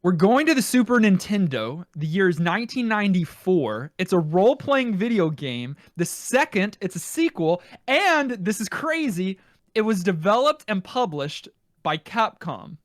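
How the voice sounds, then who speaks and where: Man, podcast host, higher-pitched voice, casual delivery, reading comments aloud, very dry close sound. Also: big pitch swings, moderate pace, no echo.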